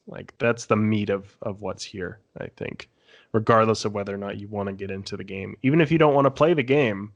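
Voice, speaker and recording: A man with a fairly low voice talks as a panelist on a podcast. His delivery is casual and conversational, like someone sharing a review with friends. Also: creaky.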